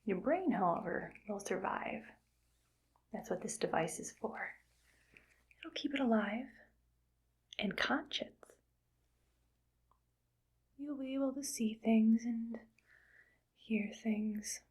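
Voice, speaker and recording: A woman voicing a cold, calm evil scientist who harvests brains, whispering softly in a clinical, matter-of-fact way for an ASMR roleplay. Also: fairly high pitch, slow, long pauses. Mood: coolly clinical. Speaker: ASMR creator.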